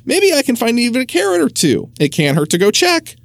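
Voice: mid-range voice, wildly swooping pitch, vocal fry, no room sound, voice pushed up. A reader voicing a flustered village farmer's wife. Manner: flustered, quick to cover up. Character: poor village farmer's wife, caught fibbing.

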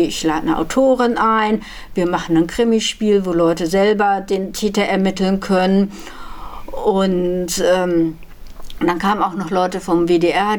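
A woman speaks as an event organiser being interviewed. She tells her story spontaneously, in a voice of medium pitch. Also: darkish tone.

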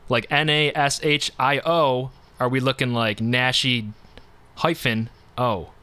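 Deadpan male radio host, bright and clear. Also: mid-range voice, slow, dry recording. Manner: deadpan, dry banter. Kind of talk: comedic call-in radio bit.

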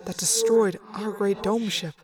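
A woman voicing an earnest young male alien pilot with formal, measured speech, in an audiobook story. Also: mid-range voice, steady tone, distant mic, over a noisy crowd, natural voice. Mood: grave, measured.

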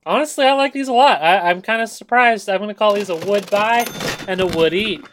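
Playful co-host, slightly hoarse. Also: mid-range voice, brisk, background noise. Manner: playful, theatrical sign-offs with laughs between lines. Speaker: co-host of a snack review show. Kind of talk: casual chat.

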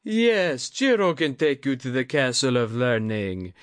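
A man's voice: medium pitch, very animated, clear, resonant tone, no echo, voice pushed up. Audiobook nobleman, acted by a man: tipsy, jovial, exclamatory, teasing, in a raised, dry-recorded voice. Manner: exclaiming, snorting, drawn-out. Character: drunken nobleman lord, jovial and teasing host. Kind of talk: audiobook character voice.

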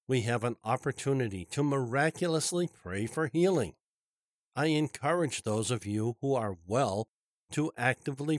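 A man teaching a Bible study as a pastor, speaking slowly and carefully in a formal tone. His voice sounds stuffy and congested, recorded close and dry with no room sound. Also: mid-range voice, no room sound. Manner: formal, deliberate delivery.

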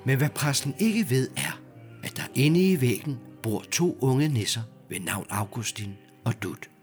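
A man's voice: medium pitch, animated, faint music behind, natural voice. A man narrating a children's radio serial in a scripted storytelling style.

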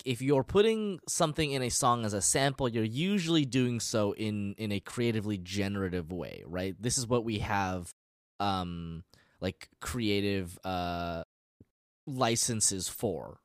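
A man's voice: fairly low pitch, animated, a little slow, dry recording, clean studio sound. A man co-hosting a podcast in casual chat, explaining things in a rambling, hesitant way. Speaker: podcast co-host.

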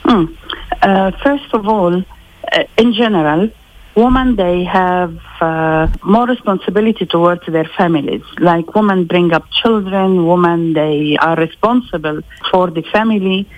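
Woman from lebanon, slow careful answers, low voice, muffled phone audio. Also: no room sound. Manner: measured, thoughtful, slow with pauses. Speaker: interviewee. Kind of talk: recorded interview. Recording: lo-fi phone line.

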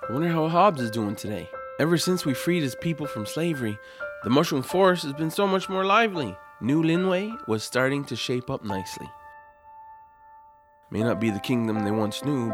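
An actor playing an upbeat, eager young adventurer, cheerful and coaxing, in a family audio drama. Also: male voice, on the high side.

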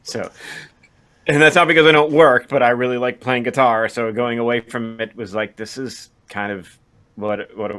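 A man's voice: medium pitch, steady tone, normal speed, pushed and bright. A man co-hosting a podcast in casual conversation, often stammering and restarting his phrases. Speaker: podcast co-host.

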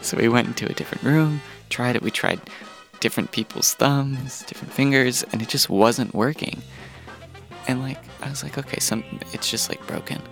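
A man's voice: medium pitch, normal speed, vocal fry, a bit bright. A man casually telling childhood stories in a conversational, chuckling way, with music underneath. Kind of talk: casual personal storytelling.

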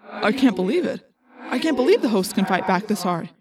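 A woman's voice: mid-range voice, expressive, brisk, over music, lightly acted. A woman voicing an anxious boy, an ally in an audiobook story, speaking in an urgent, questioning way. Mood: alarmed.

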